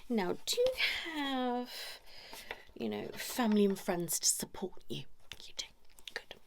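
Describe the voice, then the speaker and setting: A woman speaking softly and often whispering in an ASMR role-play as a chatty couples therapist, gently scolding. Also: medium pitch, animated, measured pace, slight background noise. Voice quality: soft, breathy, often whispered. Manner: chatty, mock-concerned, gently scolding, hushed. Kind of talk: ASMR role-play, one-sided improvised conversation.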